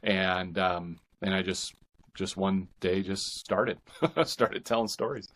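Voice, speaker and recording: Male author guest, lower register, conversational interview answers, halting and reflective delivery. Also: a bit bright.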